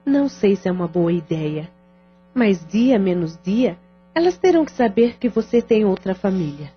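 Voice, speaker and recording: Woman doing a kind sensible sister, gentle and calm, mid voice, lo-fi with soft music. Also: medium pitch, normal speed, slightly rough. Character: caring, sensible sister who supports her brother. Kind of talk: audio drama acting. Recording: dull lo-fi audio with faint music.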